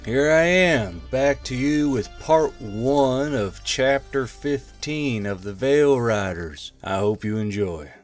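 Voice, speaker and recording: A man's voice: medium pitch, animated, slow, few pauses, faint music behind. A man reading a fantasy war story aloud as scripted narration. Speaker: narrator, audiobook reader.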